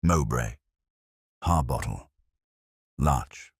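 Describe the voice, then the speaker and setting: Very deep, husky male mystery narrator. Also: no echo, clean studio sound. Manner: measured, dramatic, with short pauses. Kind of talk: scripted mystery audiobook narration.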